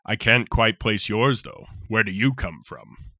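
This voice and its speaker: Male narrator as a jovial giant soldier, deep and bright, dry read. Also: a little slow, no echo. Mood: hearty. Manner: hearty, rough folksy speech. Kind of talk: audiobook character voice.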